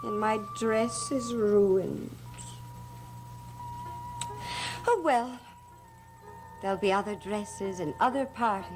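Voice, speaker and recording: An actress as a slow, theatrical, scheming grand lady. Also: mid-range voice, lightly acted. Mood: giddy, dreamy. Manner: theatrical, deliberate, sing-song. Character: giddy scheming woman, possessive, grandly commanding her mute servant. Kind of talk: gothic TV soap opera acting. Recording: old soap soundtrack with music underneath.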